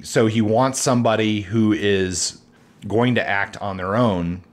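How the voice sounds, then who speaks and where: A man with a fairly low voice co-hosts a casual book-discussion podcast. He thinks aloud with small restarts and breaks off to read passages from the novel. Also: fairly even intonation, measured pace. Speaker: podcast co-host.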